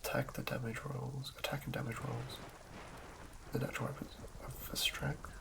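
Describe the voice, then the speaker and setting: A man reading in a hushed, breathy ASMR whisper with a halting, measured delivery. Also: medium pitch, flat delivery. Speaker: host of an ASMR channel. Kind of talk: ASMR reading of game rulebook.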